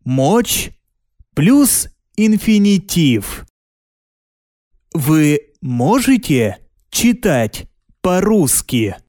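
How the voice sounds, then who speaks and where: A man reading a language lesson aloud, pronouncing each word deliberately and clearly. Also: on the high side, a little mellow, no room sound, clean studio sound. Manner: carefully spaced, deliberate pronunciation. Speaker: language teacher.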